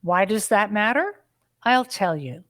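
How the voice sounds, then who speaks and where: A woman's voice reading out a listener's letter in an even, measured way. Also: mid-range voice, no echo, clean studio sound.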